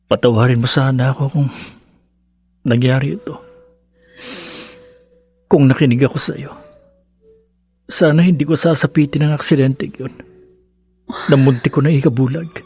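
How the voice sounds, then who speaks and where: An actor playing a gentle, remorseful young husband with a husky voice in a radio drama. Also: male voice, medium pitch, steady tone, lightly acted. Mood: remorseful, loving. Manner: slow, gentle, apologetic. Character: remorseful young husband recovering from surgery, devoted.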